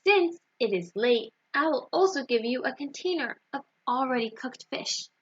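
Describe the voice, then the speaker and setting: A woman's voice: sits high, animated, moderate pace, fairly bright tone, slightly rough, muffled recording, heavily acted. A woman voicing an agreeable, practical fishmonger man in a children's storybook.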